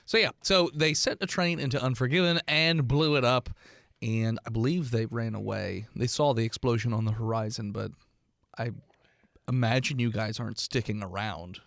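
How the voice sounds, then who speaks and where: A man's voice: mid-range voice, moderate pace, vocal fry, a bit bright, no echo, studio quality. A man hosting a tabletop game podcast as game master, narrating casually to his players.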